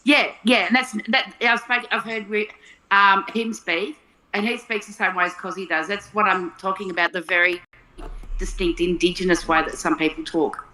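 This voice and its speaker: A woman's voice: mid-range voice, fairly even intonation, normal speed, pushed and bright, low-quality recording. A woman from Melbourne with an Australian accent, chatting casually and thinking aloud as she explains things. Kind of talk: casual chat.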